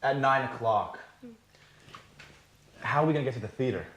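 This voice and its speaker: An actor playing a nervous, apologetic singer in a scripted sitcom for language learners, speaking anxiously and clearly. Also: male voice, medium pitch, normal speed, fairly bright tone, slight background noise, close to an everyday voice. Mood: polite, unsure. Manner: anxious, self-doubting. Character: nervous, apologetic, clumsy male professional singer before a show. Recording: echoey room sound.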